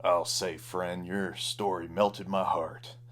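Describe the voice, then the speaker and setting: Man doing a tough, slow-talking cowboy lawman. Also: low-pitched, flat delivery, fairly bright tone, a little hiss or hum. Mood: softened, friendly.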